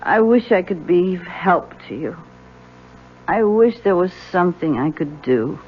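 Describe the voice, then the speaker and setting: An actress playing a gentle, loving, bedridden wife who sounds drowsy and weak, in a 1970s radio drama. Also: female voice, fairly low pitch, expressive, measured pace. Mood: sleepy, wistful. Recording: thin phone-like old radio, faint hum.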